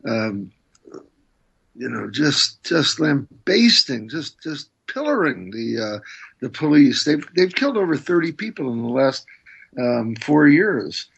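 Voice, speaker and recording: Husky man in his sixties, dull line. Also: medium pitch, very animated, slow pace, a bit bright. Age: 60s. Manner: thoughtful, hesitant, many "um"s. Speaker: guest. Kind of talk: interview, recounting memories.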